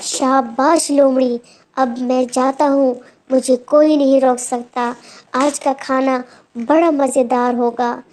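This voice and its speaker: A woman's voice: sits high, fairly even intonation, takes it slowly, a little mellow, slight background noise. A woman voicing an imperious, hungry, irritable male lion king in a children's moral story. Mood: pleased, eager.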